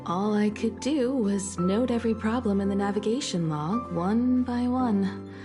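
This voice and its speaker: A woman playing a composed, caring starship navigator in a video game, speaking in a gentle, reflective, measured way like a proud mentor. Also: mid-range voice, over music, natural voice. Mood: nostalgic. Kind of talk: video game story dialogue.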